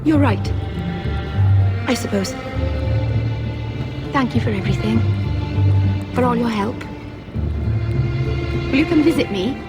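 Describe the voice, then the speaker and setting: A shy, earnest young elf woman from a fantasy game. She speaks gently and hesitantly in a high voice, over loud music. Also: animated, takes it slowly, long pauses. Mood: shyly grateful. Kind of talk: fantasy video game acting.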